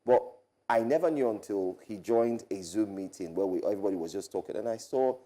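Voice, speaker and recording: A Nigerian man in his forties, co-hosting a morning TV show in an animated, chatty way, often trailing off and restarting. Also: fairly low pitch, a little fast. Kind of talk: casual studio chat. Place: large TV news studio.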